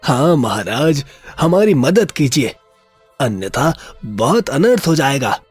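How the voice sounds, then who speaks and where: A man voicing a humble, anxious village man in a cartoon moral story, pleading deferentially in a husky voice. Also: mid-range voice, very animated, takes it slowly, darkish tone, no echo, soft background music, heavily acted.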